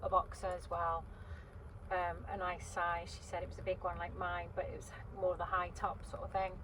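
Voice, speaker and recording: A woman's voice: fairly low pitch, monotone, fairly quick. Chatty woman in her fifties, wind outside. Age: 50s. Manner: chatty, meandering, many ums and restarts. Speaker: vlogger. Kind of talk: casual rambling chat to camera. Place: inside a parked van by a river on a windy day. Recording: wind noise in a parked van. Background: gusting wind outside.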